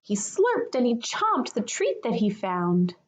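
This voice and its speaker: Woman, kindergarten teacher, slightly high voice, lively intonation, children's read-aloud, expressive. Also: slow pace. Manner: expressive, addresses young children as friends.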